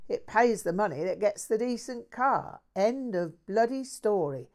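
A woman's voice: mid-range voice, animated, unhurried, a little mellow, pitched above the performer's own voice. A woman voicing a crude bloke. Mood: ranting. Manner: swearing, slangy, ranting. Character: crude brash working-class London man.

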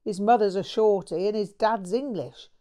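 A woman's voice: mid-range voice, normal speed, dark tone, close to an everyday voice. A woman voicing a brash, foul-mouthed English man who is bitter, manic and full of slang in an audiobook. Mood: defiant. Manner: swearing, slangy, bursts of manic cackling. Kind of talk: audiobook character voice.

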